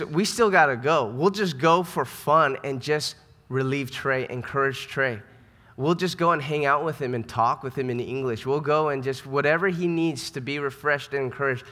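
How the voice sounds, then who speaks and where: A man's voice: fairly high pitch, pushed and bright. An American man preaching a sermon as a pastor, speaking in an earnest, conversational way with emphatic repetition. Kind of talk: sermon to a church congregation. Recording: reverberant hall sound.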